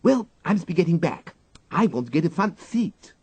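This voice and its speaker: Audiobook role: male reader as a mischievous little English schoolgirl, high, lilting, gushing with glee. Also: big pitch swings, moderate pace, a little mellow, breathy edge, no room sound, full character voice. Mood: gleeful, eager. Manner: eager, gushing.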